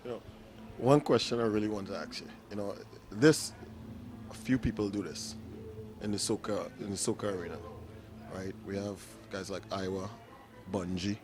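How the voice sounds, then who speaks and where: Enthusiastic man hosting a music show. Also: lowish voice. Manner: enthusiastic, rambling questions with fillers. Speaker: interview host. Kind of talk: casual interview questions.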